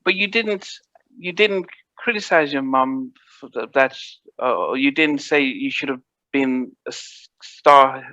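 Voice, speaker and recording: A man hosts the interview with a bright, tense-edged voice, cheering his guest on with short affirmations. The recording is dry and a little dull and lo-fi, with no room sound. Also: mid-range voice, a little slow, no room sound. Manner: encouraging, frequent short affirmations. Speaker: podcast host. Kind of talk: casual interview.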